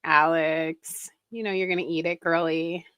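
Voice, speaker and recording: A woman's voice: low-pitched, little pitch movement, measured pace, fairly bright tone, smooth, no room sound. A woman giving casual, wry reaction commentary on a video, with critical asides. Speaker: reaction streamer.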